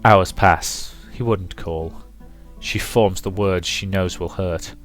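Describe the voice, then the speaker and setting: A man's voice: low-pitched, steady tone, slow pace. A man hosting a let's play, reading a visual novel as dramatic, rhythmic narration with some commentary. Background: music.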